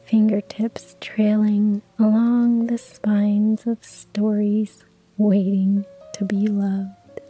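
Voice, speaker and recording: A woman narrating a cozy story in a hushed ASMR whisper, with soft laughs. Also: mid-range voice, flat delivery, very slow, breathy edge, faint music behind, rough recording.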